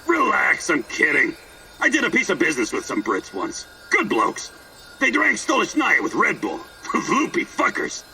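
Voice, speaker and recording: Manic mocking game oddball, wildly swooping delivery, sharp edge, foul-mouthed. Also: male voice, sits high, huge pitch swings, normal speed, gravelly, distant mic, soft background music, exaggerated voice. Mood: rambling, playful. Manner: mocking, theatrical, rambling storytelling. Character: manic, teasing, foul-mouthed eccentric male rogue. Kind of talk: video game character acting.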